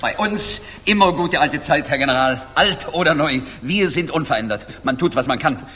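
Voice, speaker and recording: Servile restaurateur, highish voice, fussy, flattering, echoey hissy 1960s radio play. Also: male voice, moderate pace. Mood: fawning. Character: obsequious, fussy male restaurateur, flattering his important guests. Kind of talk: 1960s German radio drama acting.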